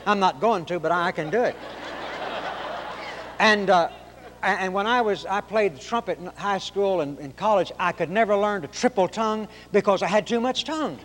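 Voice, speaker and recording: A man from Oklahoma with a high-pitched nasal voice, preaching a sermon in folksy storytelling fashion. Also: normal speed, a bit bright, long reverb tail, a faint crowd, slight background noise. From Oklahoma, raised in Arkansas.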